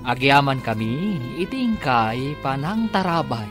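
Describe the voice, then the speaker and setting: A male announcer with an Ilocano accent presents a radio drama over clear music. His delivery is measured and slow, with sweeping dramatic intonation on a mid-pitched voice. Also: big pitch swings.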